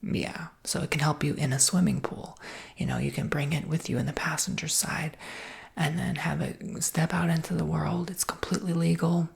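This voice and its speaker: A woman performs an ASMR roleplay as a soft-spoken salesperson. Her whisper is breathy and sits low, with very heavy vocal fry. She talks a customer through things in a reassuring way. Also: level tone, normal speed.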